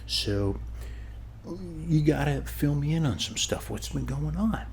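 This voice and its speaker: A man playing a friendly, rambling neighbor in a soft ASMR roleplay, speaking haltingly and often dropping to a whisper. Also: on the high side, expressive, a little hiss or hum. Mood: friendly, welcoming. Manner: halting, rambling, reassuring, often ending phrases with "okay". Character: friendly rambling male neighbor at a lake party. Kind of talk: ASMR roleplay, soft-spoken one-sided conversation.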